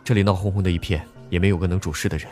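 A man's voice: low-pitched, fairly even intonation, very fast, darkish tone, faint music behind, natural voice. An actor playing a level-headed, studious male student in an audio drama, speaking in a reasoned, matter-of-fact way. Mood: urgent, decisive.

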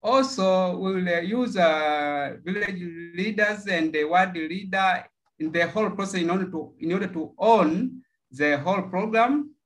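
A man presents a project pitch over a video call. His delivery is halting, with frequent fillers and repeated words. His voice sits a little above the usual male range. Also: a bit bright. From Tanzania. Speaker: project pitcher from a local NGO.

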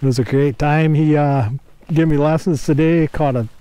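A man's voice: a little high, moderate pace, clean tone, dry recording. A man hosting a casual fishing vlog from a small boat on a river, recorded on an action camera. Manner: excitable, laughing exclamations. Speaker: fishing guide and channel host.

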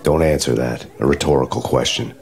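An actor playing a world-weary undercover cop who narrates noir game scenes in a deadpan, sardonic way. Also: male voice, very deep voice, brisk, slightly rough. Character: world-weary, hard-boiled undercover cop narrating his own story. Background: music.

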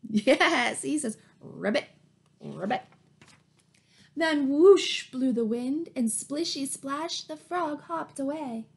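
High-voiced woman reading to little children. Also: slow pace, few pauses. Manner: encouraging, asks questions and prompts the children. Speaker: teacher reading aloud to young children. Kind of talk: animated picture-book read-aloud for young children. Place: small plain room indoors at home. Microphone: webcam at arm's length.

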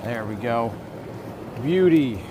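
A man's voice: mid-range voice, animated. A young man in his twenties giving upbeat, casual commentary to his viewers while fishing, often talking to the fish and chuckling. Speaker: fishing vlogger. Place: outdoors by a rushing stream. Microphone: action camera, chest or handheld. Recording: outdoor camera mic with loud stream noise. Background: rushing water.

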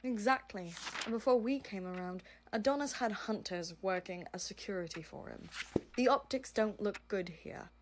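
Woman acting as exacting female aide, serious, businesslike, dryly exasperated, audio drama, mid pitch, noisy recording. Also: moderate pace, lightly acted. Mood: serious, concerned. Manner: formal, businesslike, dry. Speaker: voice actor. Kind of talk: amateur audio roleplay acting.

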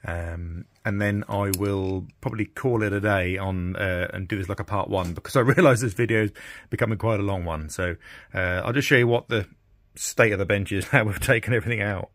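Low-voiced man, thinking aloud. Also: brisk, a bit bright, no room sound. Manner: informal, hesitant, thinking aloud. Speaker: radio restorer presenting a repair video. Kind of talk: casual workshop commentary. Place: small indoor workshop at a bench.

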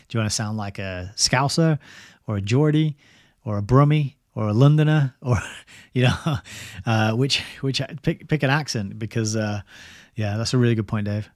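A man's voice: lowish voice, a little mellow, no echo. Chatty English man, dry close mic. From England. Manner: rambling, chatty, laughs often. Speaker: livestream host reading a book. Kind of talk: casual livestream chat with viewers. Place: home study lined with bookshelves. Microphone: studio mic on boom arm.

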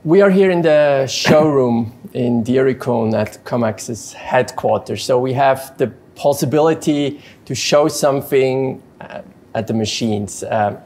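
A man interviewing an executive in a company showroom, asking measured questions. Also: medium pitch, darkish tone. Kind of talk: corporate video interview.

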